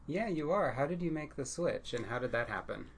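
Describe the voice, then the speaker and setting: A man's voice: medium pitch, animated, brisk, vocal fry. A man hosting a casual podcast interview, asking encouraging questions. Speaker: podcast host.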